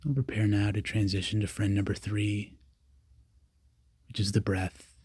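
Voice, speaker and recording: A man leading a guided meditation, giving calm, simple, repeated instructions with long pauses between them. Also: on the low side, husky, no echo. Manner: calm, repetitive, unhurried cues with long pauses.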